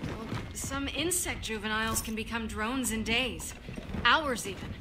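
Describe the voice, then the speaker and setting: A woman's voice: a little high, a little slow, noisy background, lightly acted. An actress voicing an alert, knowledgeable female fighter in a video game, speaking matter-of-factly. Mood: grave, thoughtful. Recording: game dialogue under loud music.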